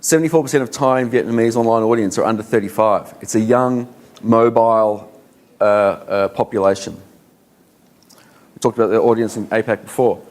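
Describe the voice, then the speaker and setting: A man's voice: on the low side, brisk, darkish tone. A man with an Australian accent giving a conference talk to an audience, conversational, with many fillers and rhetorical questions. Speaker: conference speaker.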